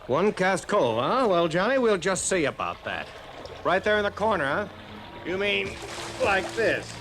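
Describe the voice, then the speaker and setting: An actor playing a loyal, excitable detective's sidekick in a golden age radio drama, speaking in exclamations. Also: male voice, high voice, unhurried, exaggerated voice. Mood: excited. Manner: animated, exclamatory. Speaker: supporting actor. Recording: old radio drama, noisy water ambience.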